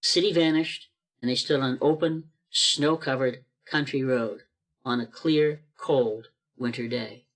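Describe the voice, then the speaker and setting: A man's voice: mid-range voice, a little slow, a bit bright. A man narrating a radio play as a scripted storyteller.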